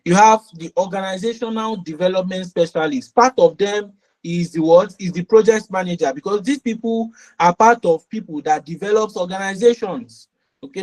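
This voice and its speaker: A man's voice: high voice, darkish tone. A man instructing a live online class. He often repeats key phrases and checks in with "okay" and "all right". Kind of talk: live webinar teaching.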